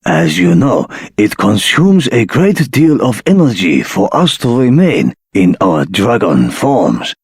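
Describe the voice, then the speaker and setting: A grave elderly council elder of a dragon people in a fantasy game. The voice is husky and slow, with expressive swings and formal, measured phrasing. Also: male voice, on the low side, no room sound, clean studio sound, full character voice. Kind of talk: scripted game voice acting.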